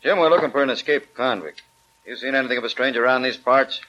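A man's voice: mid-range voice, natural voice. A man with an American accent playing a shrewd, authoritative sheriff leading a manhunt in a 1940s radio crime drama. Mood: businesslike. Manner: brisk, authoritative. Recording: dull, faintly noisy old radio recording.

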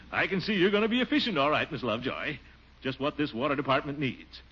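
A man's voice: a little high, animated, fairly quick, sharp tone. An actor playing a blustering, pompous middle-aged male city official who gives a hearty laugh, in 1940s radio sitcom style. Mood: jovial. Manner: jovial, boastful, sneaky excuses. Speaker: Gildersleeve, water commissioner. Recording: muffled old radio broadcast, faint hiss.

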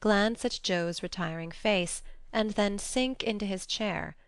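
A woman's voice: mid-range voice, a little slow, dry recording. A woman reading scripted storybook narration as an audiobook narrator.

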